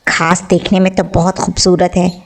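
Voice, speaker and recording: A woman voicing a sly, hungry male wolf in a children's story, scheming and full of smooth flattery. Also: on the low side, normal speed, pitched below the performer's own voice, lightly acted. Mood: falsely friendly.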